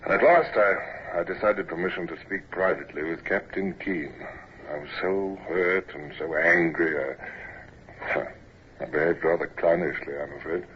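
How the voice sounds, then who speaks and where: An older naval officer looks back on his youth in a low, rueful voice. The old radio recording is muffled, with a faint hiss behind it. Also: a little slow. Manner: rueful, self-mocking. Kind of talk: 1940s-50s radio acting, narration.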